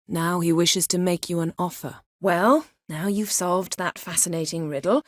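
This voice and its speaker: An actress playing a proud, sharp-tongued sorceress in a fantasy video game, speaking in a haughty, sardonic, clipped and articulate way. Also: female voice, on the low side, fairly even intonation, normal speed, no room sound, clean studio sound, natural voice. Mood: cool, composed.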